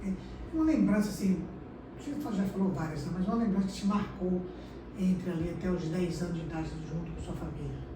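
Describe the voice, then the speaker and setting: A woman's voice: on the low side, fairly quick, distant mic. A woman hosting an interview, affirming her guest often. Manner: affirming, many "sim, sim" backchannels. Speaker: interviewer, host. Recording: echoey room, recorded from afar, noisy.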